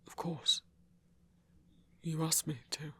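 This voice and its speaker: A man's voice: on the high side, flat delivery, slow, pushed and bright, husky, dry recording, full character voice. A man voicing a nervous, apologetic young werewolf in an ASMR roleplay, stammering and hesitant. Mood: gentle, reassuring. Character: nervous, apologetic male werewolf, gentle and awkward.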